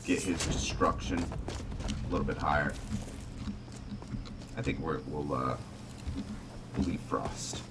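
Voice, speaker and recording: A man giving casual commentary on a roleplay game, talking in a measured, halting way. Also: a bass voice, steady tone, heavy background noise. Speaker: gaming video host. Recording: distant mic under loud music. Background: loud game music.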